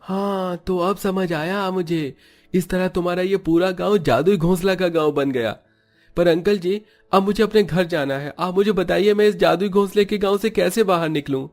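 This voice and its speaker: A man's voice: sits high, moderate pace, darkish tone, soft background music, voice pushed up. A man voicing an anxious, earnest young male traveler in a Hindi cartoon story, with a plaintive manner. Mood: puzzled, earnest.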